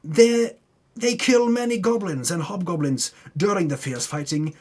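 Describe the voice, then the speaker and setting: A man giving a dramatic, tongue-in-cheek reading of a fantasy game recap, breaking off into muttered asides. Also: on the high side. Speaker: narrator recapping a tabletop game campaign.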